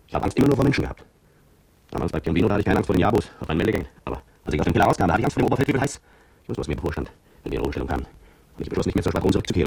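Low, gravelly man's voice, that of a cowed petrol station attendant in a radio play. He confesses at a very quick pace, brooding and bitter, with an old soldier's fear underneath. The sound is close and dry. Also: a little mellow, no room sound, full character voice. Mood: bitter, fearful. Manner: brooding, confessional first-person narration. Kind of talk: German radio drama monologue.

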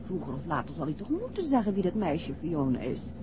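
An actress playing a detective's teasing, affectionate wife in a 1960s Dutch radio play, playful and familiar in manner. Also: female voice, on the low side, wildly swooping pitch, brisk, noisy background. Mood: curious. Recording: dull, muffled vintage radio recording.

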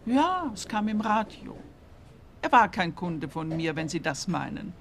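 A worldly madam, café noise behind. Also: female voice, lowish voice, sing-song, measured pace. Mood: cool, brusque. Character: cool, worldly, stern wealthy madam. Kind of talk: radio play acting. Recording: clear background noise of a café scene.

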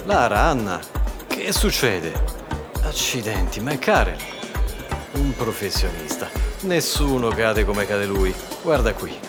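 A man's voice: fairly low pitch, big pitch swings, takes it slowly. An actor playing a wry, take-charge male party host in a radio drama, exclamatory and commanding. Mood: wry amusement. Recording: music behind the voice.

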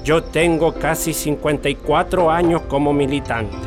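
An actor playing an earnest, talkative veteran Chilean union leader in a radio drama. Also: male voice, fairly high pitch, steady tone, moderate pace, over music, close to an everyday voice. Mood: reminiscing, earnest. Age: older.

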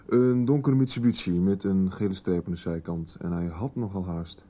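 A man's voice: low voice, moderate pace, dark tone. An actor playing a cocky, joking young man in a radio soap, flippant and unruffled. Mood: cocky, relaxed. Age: young adult. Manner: flippant, laid-back. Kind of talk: 1990s radio soap acting.

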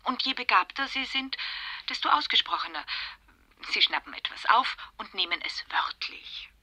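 A bright, husky, chatty friend. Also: female voice, fairly high pitch, normal speed, no echo, in a whisper, heavily acted. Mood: insistent, gossipy. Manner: talkative, insistent, animated storytelling. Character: chatty, eager woman friend passing on expert gossip. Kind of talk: radio crime drama acting.

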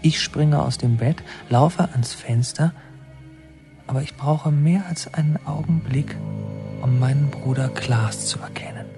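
An actor playing a brooding, haunted young man who narrates his memories in a deliberate, halting, literary radio drama style. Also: fairly high pitch, over music. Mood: reflective. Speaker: narrator and protagonist.

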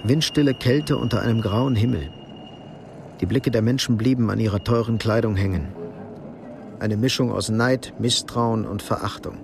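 A man narrating a radio drama from a script in a measured, sober manner. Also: lowish voice, vocal fry, background noise.

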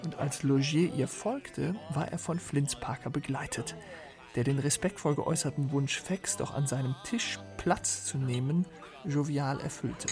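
A man's voice: on the high side, unhurried, over music. A man narrating a literary radio play as one of its storytellers.

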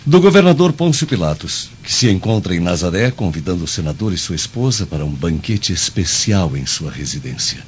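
A man's voice: sits low, big pitch swings, a little fast, few pauses, a little hiss or hum. A Brazilian man playing a deferential, insistent Roman official in a radio drama, formal and pleading. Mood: apologetic, formal. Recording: muffled audio with music behind.

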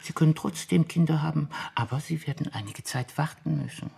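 An actor in a radio drama playing a fussy, evasive bureaucrat who hems and stammers officiously in a gravelly, husky voice. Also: male voice, a little high, a little fast, mellow, exaggerated voice. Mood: officious, flustered. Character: fussy evasive male bureaucrat, mild but unyielding.

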